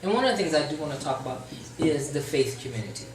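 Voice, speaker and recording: Very deep-voiced woman therapist, roomy sound. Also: animated, a little mellow, a little hiss or hum. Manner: passionate, measured, serious. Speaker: therapist guest. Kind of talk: talk show guest conversation. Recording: roomy sound from a distant mic.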